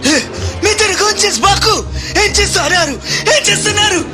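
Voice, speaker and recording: Frantic islander, extremely high shrill cries, drawn-out repeated phrases, radio play, music behind. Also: male voice, heavily acted. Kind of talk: radio drama acting.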